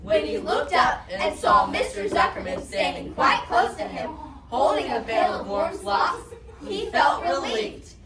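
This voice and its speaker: A young female narrator performing a storybook scene on stage, expressive and emphatic in her delivery. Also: sits high, unhurried, crowd noise. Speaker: student reader's theatre narrator. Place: competition performance room indoors. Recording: echoey room, distant mic, audience noise.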